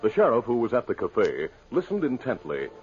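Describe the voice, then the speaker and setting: A man's voice: medium pitch, huge pitch swings, a little fast, a little mellow. A man narrating a scripted 1950s radio western as its storyteller. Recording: muffled old radio broadcast. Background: music.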